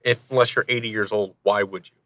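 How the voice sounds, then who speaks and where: A man's voice: fairly low pitch, fairly even intonation, fast, bright, forceful tone, no echo. A man in his thirties chatting casually and animatedly as a podcast guest, telling stories in a self-deprecating way. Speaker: podcast guest, audio drama producer and actor. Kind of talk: casual conversational storytelling.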